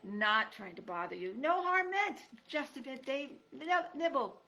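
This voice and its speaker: Woman reader as a sniveling, apologetic male fox; slightly gravelly. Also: high voice, animated, measured pace, sharp tone, voice pushed up, heavily acted.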